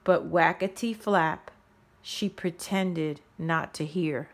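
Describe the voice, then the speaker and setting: A woman reading a rhyming children's story aloud in a measured, expressive storytelling manner. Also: lowish voice. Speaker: storybook reader. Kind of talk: children's read-aloud narration in rhyme.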